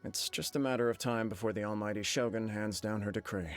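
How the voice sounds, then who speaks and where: A man's voice: on the low side, fairly even intonation, fairly quick, faint music behind, natural voice. An actor playing a young male noble clan heir, earnest and weighed down by duty. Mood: grave. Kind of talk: game cutscene dialogue.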